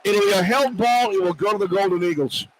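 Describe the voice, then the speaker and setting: A man's voice: high voice, a little fast, poor audio. An American man doing live radio basketball play-by-play in terse, clipped phrases. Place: courtside in a large indoor arena. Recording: radio broadcast with clear arena noise. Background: arena ambience and noise.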